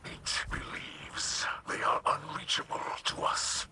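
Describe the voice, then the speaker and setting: An actor playing a solemn, devout alien warrior father in a video game, with a hoarse breathy rasp and halting, formal, reverent speech. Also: sharp tone, whispered. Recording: music behind the voice.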